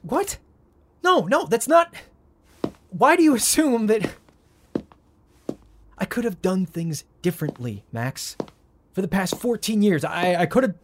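A man's voice: high-pitched, big pitch swings, measured pace, pauses often, slight background noise. An actor in a naturalistic audio drama playing an agitated, wounded heir in his thirties who stammers with indignation. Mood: outraged, overwhelmed. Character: agitated adult heir of a rich family, volatile and wounded.

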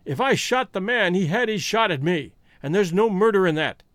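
Man playing a fierce, lively American outlaw. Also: high-pitched, normal speed, no room sound. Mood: defiant despair. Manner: bitter, emphatic, blustering. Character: fierce, lovesick, defiant American criminal, male.

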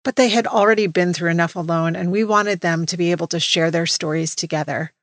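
A woman with a fairly low voice reads scripted podcast narration as the host. The recording is dry and close, with next to no room around her. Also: fairly quick, no room sound. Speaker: podcast host introducing stories.

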